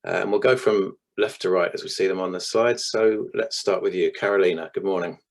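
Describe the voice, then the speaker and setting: A man with a very low, deep voice hosts an online panel. He is hoarse and croaky from losing his voice, and his talk is full of ums and small restarts. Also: steady tone, fairly quick. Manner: hesitant, with frequent ums. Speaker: webinar host. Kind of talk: hosting a video-call panel. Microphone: video call.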